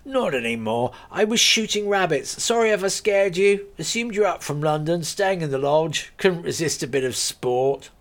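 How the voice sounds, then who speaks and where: A man's voice: on the high side, lively intonation, normal speed, hardly any pauses, a bit bright, voice pushed up. A man reading aloud, voicing a cheerful, teasing farmer in his forties who chats freely. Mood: jovial. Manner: jovial, teasing, chatty.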